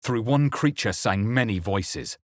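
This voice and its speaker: A man voicing a grave, introspective adventurer who narrates his own dark fantasy memories for a video game in a deliberate, literary way. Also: on the low side, dry recording, studio quality, natural voice. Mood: grave, hushed. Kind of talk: scripted first-person game narration.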